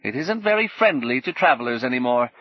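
A man's voice: medium pitch, very animated, fairly quick, no room sound, muffled recording. A man voicing a rueful old woman fallen on hard times, speaking in a bitter, wry, emphatic way. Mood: wry warning.

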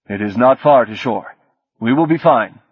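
A man's voice: mid-range voice, expressive, moderate pace, husky, no echo. A man voicing a practical ship captain who talks plainly and firmly. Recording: dull dry audiobook recording.

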